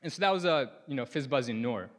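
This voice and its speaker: A man's voice: medium pitch, expressive, quick pace. A young man from Sudan, a native Arabic speaker, giving a casual conference talk on stage into a lapel mic, often using "like" and "you know".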